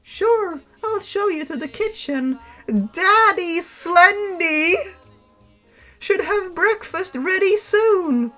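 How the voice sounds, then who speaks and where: A man's voice: extremely high pitch, lively intonation, slow pace, bright, forceful tone, soft background music, voice pushed up, heavily acted. A man voicing a sweet, eager little ghost girl with childlike, simple phrasing.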